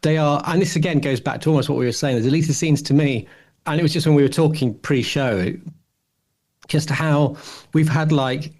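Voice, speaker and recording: A man's voice: fairly high pitch, normal speed, a little mellow. A middle-aged man chatting casually as a podcast co-host, musing and hedging in a rambling way. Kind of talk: casual chat.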